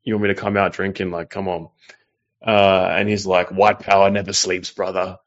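A man's voice: deep voice, level tone, moderate pace. A man hosting a livestream and reading viewer messages, talking in a loose, rambling way with plenty of swearing and fillers. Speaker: livestream host reading super chats. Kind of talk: casual livestream chat. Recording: slightly muffled stream audio.

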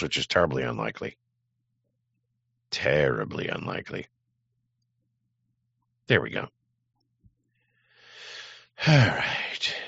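Very deep, gravelly man ranting on a livestream. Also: a bit bright, no echo, muffled recording. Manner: profane, sardonic. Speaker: livestream host. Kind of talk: rambling political rant to live chat.

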